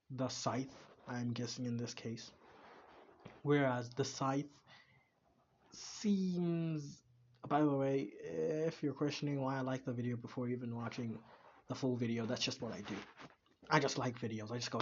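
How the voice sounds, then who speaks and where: A man's voice: medium pitch, muffled recording, a little hiss or hum. A young man, casually chatting and rambling with many restarts as a reaction video host. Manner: rambling, halting, full of fillers and restarts. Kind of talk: casual chat.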